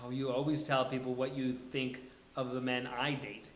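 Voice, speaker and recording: A man's voice: medium pitch, flat delivery, moderate pace, close to an everyday voice. A man playing a flamboyant, petulant, self-absorbed best friend in a live sitcom table read, with theatrical emphatic bursts. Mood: teasing, dismissive. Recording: roomy live venue with faint hiss.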